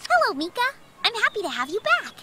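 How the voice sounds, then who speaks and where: A woman voicing a perky, helpful young farm girl in a video game, with a chirpy, expressive delivery. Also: very high-pitched, fast, heavy background noise, exaggerated voice. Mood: friendly, chatty. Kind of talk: video game dialogue and tutorial.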